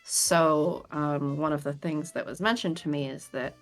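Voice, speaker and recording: A young woman chatting casually on a game stream, talking in a melodic, animated way with lots of pitch variation. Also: measured pace, faint music behind, poor audio. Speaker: streamer co-host.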